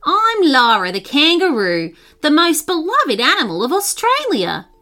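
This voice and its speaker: A woman's voice: high voice, wildly swooping pitch, measured pace, pushed and bright, pitched above the performer's own voice, heavily acted. A woman voicing a cheerful, friendly and proud female kangaroo in a children's story.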